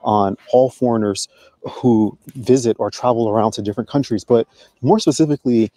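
A middle-aged man walking and vlogging outdoors in a park, speaking to a handheld camera in a measured, deliberate way. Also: mid-range voice, mellow, dry recording. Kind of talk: vlog commentary.

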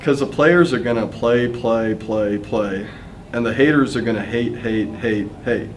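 A man's voice: mid-range voice, unhurried, soft background music, rough recording. A man giving a spoken dramatic reading of lyrics indoors.

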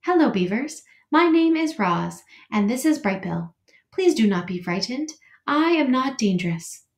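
Polite, even-toned female robot, read by a woman, formal phrasing, roomy echo. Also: a little high, unhurried, voice pushed up. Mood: calm.

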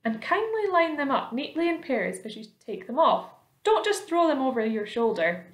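A woman's voice: high-pitched, sing-song, normal speed, voice pushed up. A woman voicing a boastful, rascally male centipede in a children's story, brash, bossy and exclamatory. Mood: bossy, impatient. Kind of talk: children's storybook read aloud. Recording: roomy, echoing indoor sound.

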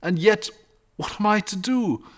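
A man's voice: high-pitched, unhurried, voice pushed up. A man voicing a frightened, fretful country shepherd in a storybook, rambling and agitated in a rustic way. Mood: awed, fretful. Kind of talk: storybook character reading.

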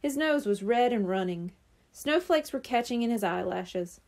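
A woman narrates a children's storybook in a fairly high, mellow voice. Her delivery is very animated and expressive, with lively rises and falls as she moves through the story. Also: normal speed. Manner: animated, acts out dialogue. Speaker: audiobook reader.

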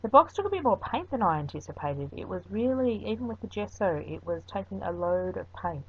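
A woman's voice: medium pitch, sing-song, brisk, a little hiss or hum. A woman chatting her way through a voice-over craft tutorial, rambling a little with frequent ums. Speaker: craft video host. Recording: dull lo-fi recording.

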